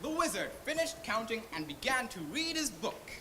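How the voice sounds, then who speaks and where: A female storyteller narrates a scripted tale theatrically in a high, animated voice. Clear noise sits behind her on the live stage. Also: normal speed. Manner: theatrical, recited. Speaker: storyteller in a school team.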